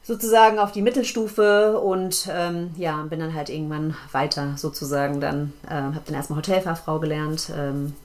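A woman's voice: low-pitched, fairly even intonation, moderate pace, hardly any pauses. A woman in her thirties from Frankfurt, a guest telling her life story in casual chat, with many fillers and laughs. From Frankfurt, Germany. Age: 30s.

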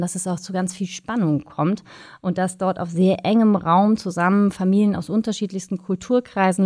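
A woman's voice: medium pitch, mellow, no echo. Woman explaining in detail, dry close sound. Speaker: expert guest. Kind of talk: explanatory interview talk.

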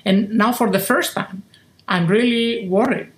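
A woman's voice: mid-range voice, measured pace. A middle-aged woman with a Spanish accent, answering as an academic interview guest in a thoughtful, earnest, conversational way. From Spain. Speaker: guest, professor of philosophy.

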